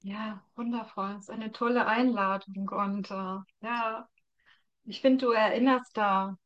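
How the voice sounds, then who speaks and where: A woman interviewing a guest in a conversational tone. Her voice sits at an average pitch for a woman. She comes across as affirming and appreciative. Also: fairly even intonation, a little slow, fairly bright tone, dull audio. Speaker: interviewer, host.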